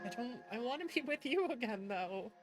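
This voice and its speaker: A woman's voice: fairly high pitch, lively intonation, fairly quick. A woman streamer chatting casually and reacting expressively as she plays a game. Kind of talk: casual game livestream chat. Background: game music.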